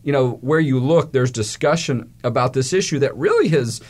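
A man's voice: mid-range voice, expressive, moderate pace. A man hosting a studio talk show, speaking in a friendly, chatty, folksy way into a studio mic. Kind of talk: casual studio interview. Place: radio studio.

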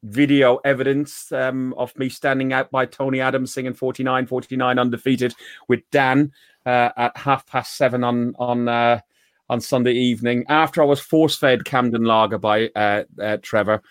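A man's voice: medium pitch, little pitch movement, normal speed, no echo. Irish male host, casual and rambling, dry close sound. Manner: rambling, many restarts. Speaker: podcast host. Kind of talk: casual fan chat.

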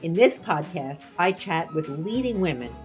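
A woman's voice: fairly low pitch, expressive, moderate pace, faint music behind. A woman hosting a podcast, delivering a scripted introduction. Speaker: podcast host.

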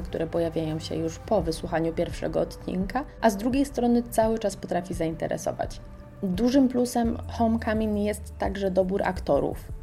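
A woman reading a scripted radio segment that recommends podcasts, in a measured and enthusiastic way. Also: medium pitch, mellow.

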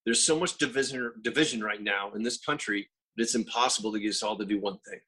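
A man's voice: lowish voice, quick pace, bright, forceful tone, husky. A man co-hosting a casual podcast, talking in a laid-back way, swearing now and then and calling people dude. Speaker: podcast co-host. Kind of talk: casual chat.

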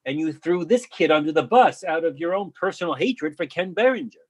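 Husky man playing blunt, accusing colleague. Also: a little high, animated, brisk. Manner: challenging, colloquial, probing. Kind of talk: readers theatre play reading.

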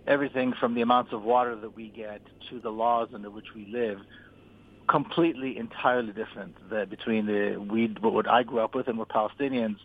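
A man from Israel giving a deliberate, earnest account as a radio interview guest, repeating words for emphasis. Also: lowish voice, steady tone, a little hiss or hum. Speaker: interview guest, author and activist. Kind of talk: radio interview, personal account and political argument. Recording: tinny, phone-like narrow-band line.

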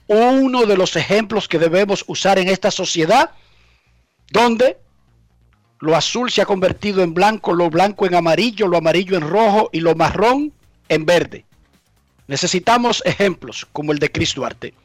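A man presenting a sports news segment in a quick, emphatic way. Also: high-pitched, no room sound, faint music behind.

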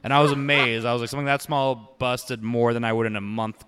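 A young man with a loud voice, rambling and swearing through crude casual banter. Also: mid-range voice, little pitch movement, fast talker, clean tone. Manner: rambling, swears a lot, says "like" often.

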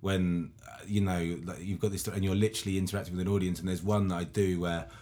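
A man's voice: sits low, little pitch movement, fast talker, dark tone. A man who tells stories, talking enthusiastically in a casual podcast chat and swearing freely. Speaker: storyteller, podcast co-host.

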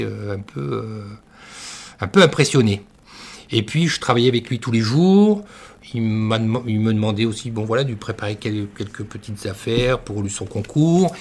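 A middle-aged man from northern France, a master baker, telling his career story in an interview with many pauses and restarts. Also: lowish voice, husky. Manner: hesitant, many fillers and restarts. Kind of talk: conversational interview.

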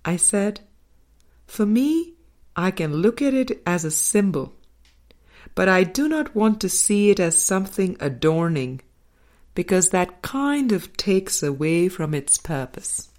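A woman narrating a spiritual audiobook, reading scripted text in measured, deliberate phrases. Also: lowish voice, big pitch swings, a little mellow. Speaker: audiobook reader. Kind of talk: scripted spiritual book narration, read aloud.

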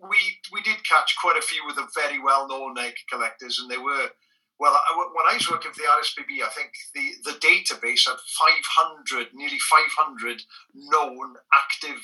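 A middle-aged man with a Welsh accent tells blunt stories in a very bright, cutting voice. He sits a little away from the microphone, so the sound is slightly distant. He stumbles and restarts often as he talks. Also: on the high side, normal speed. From Wales. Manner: frank, animated storyteller with frequent restarts. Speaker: guest, former bird protection officer. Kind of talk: conversational anecdote.

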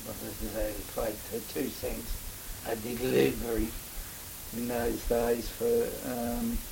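An older Australian man, in his seventies or beyond, reminiscing in a halting, rambling way for an oral history interview. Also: mid-range voice, little pitch movement, gravelly. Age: older, 70s or above. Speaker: interviewee recalling local history. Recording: faint hiss, amateur interview recording.

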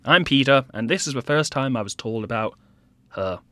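A man's voice: mid-range voice, expressive, moderate pace, sharp tone, dry recording. A man playing a cheeky, sarcastic seventeen-year-old schoolboy who bickers and narrates in an audio drama. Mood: exasperated storytelling. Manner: playful, sarcastic, exaggerated.

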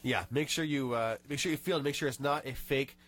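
A man's voice: mid-range voice, fairly quick, fairly bright tone. A man hosting a radio show in a studio, nasal from a cold, chatting and reading tips aloud with small rants. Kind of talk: casual radio chat.

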